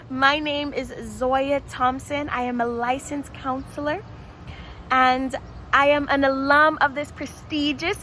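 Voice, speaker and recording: A woman's voice: high voice, pushed and bright, a little hiss or hum. A woman from the Bahamas, a licensed counselor, giving an encouraging, interactive talk to students and repeating points for emphasis. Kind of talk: presentation to students.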